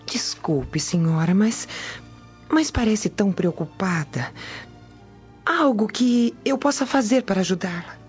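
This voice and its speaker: A woman's voice: medium pitch, lively intonation, measured pace, soft background music, close to an everyday voice. A woman with a Brazilian accent playing a falsely sweet, insinuating Roman maidservant in a radio drama. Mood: falsely solicitous. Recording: dull, muffled studio track.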